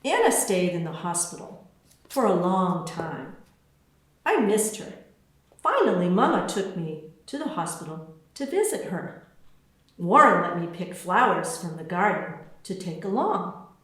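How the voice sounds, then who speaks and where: Slow, expressive woman storyteller in echoey room. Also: fairly low pitch. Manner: expressive, deliberate storytelling with spaced phrases. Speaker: author reading her own book. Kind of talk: children's picture book read-aloud.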